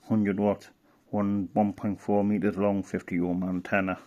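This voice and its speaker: A man giving casual, unscripted hobbyist commentary, halting and muttering as he browses, often trailing off. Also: fairly low pitch, level tone, warm tone, muffled recording. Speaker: hobbyist presenter.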